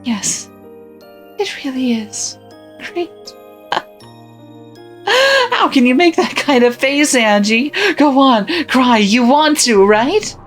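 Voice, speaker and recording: A woman's voice: sits high, expressive, slow pace, long pauses. A woman voicing a cruel, cackling witch who mocks and taunts, with faint music behind. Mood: taunting. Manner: mocking, taunting, frequent cackling laughter. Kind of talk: visual novel voice acting.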